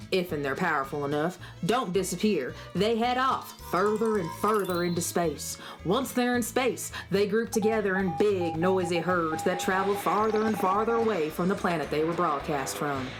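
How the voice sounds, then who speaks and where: A woman's voice: lowish voice, normal speed, hardly any pauses, music in the background, natural voice. Woman playing a confident, folksy space cowgirl boss. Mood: folksy, instructive. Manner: folksy cowboy drawl and slang. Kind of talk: children's radio drama acting.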